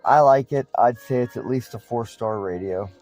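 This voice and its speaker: A man's voice: mid-range voice, normal speed, a little mellow, soft background music. A man giving a casual, unscripted product review in a matter-of-fact, plain-spoken way.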